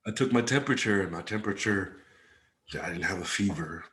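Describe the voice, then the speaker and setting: A man's voice: deep voice, a little fast, a bit bright. A man with a stuffed-up, congested voice, telling stories in a laid-back, slangy way as a podcast co-host. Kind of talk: casual chat.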